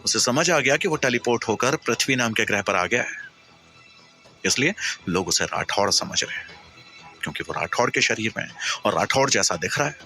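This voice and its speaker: A man's voice: fairly low pitch, lively intonation, moderate pace, sharp tone, faint music behind. A man narrating a scripted audio story in a dramatic, suspenseful, emphatic way.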